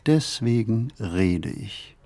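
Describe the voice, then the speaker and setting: An actor playing a brooding, introspective flaneur in a theatrical monologue, musing deliberately and shifting between emphatic and hushed delivery. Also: male voice, fairly low pitch, lively intonation, very noisy. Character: brooding, introspective male flaneur wandering his city. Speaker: stage actor.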